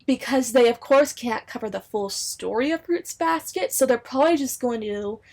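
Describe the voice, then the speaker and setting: A woman's voice: a little high, moderate pace, a little mellow. A woman in her twenties chatting casually and enthusiastically to viewers like a gushing fan sharing news. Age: 20s. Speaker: anime and book video creator.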